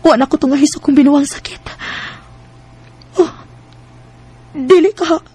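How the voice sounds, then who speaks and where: A woman's voice: high-pitched, measured pace, warm tone. An actress with a Cebuano Filipino accent playing an emotional, guilt-ridden married woman in a melodramatic radio drama. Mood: panicked, tearful.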